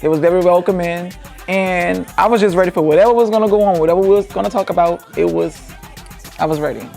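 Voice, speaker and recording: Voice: medium pitch, quick pace, creaky, darkish tone, soft background music. A cast member, earnestly recounting events in a confessional. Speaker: reality show cast member.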